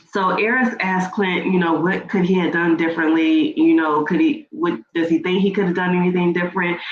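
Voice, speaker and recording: A woman with a stuffy nose, rambling casually as she recaps a reality TV show for her channel. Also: lowish voice. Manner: chatty, rambling with fillers and restarts. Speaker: YouTube reaction host. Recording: echoey room, dull lo-fi sound, faint noise.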